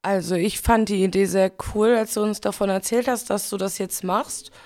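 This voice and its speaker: A woman's voice: mid-range voice, steady tone, normal speed, darkish tone. A woman in her thirties, a bride being interviewed, telling stories in a chatty way. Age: 30s. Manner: chatty, storytelling with fillers. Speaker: bride, guest. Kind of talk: casual interview talk.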